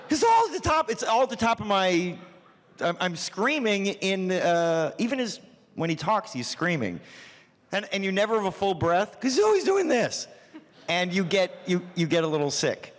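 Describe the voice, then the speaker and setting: A man's voice: high-pitched, very animated, unhurried. A man chatting casually on a panel about his voice acting, recorded in a reverberant hall. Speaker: show creator and voice actor on a panel. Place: large panel room.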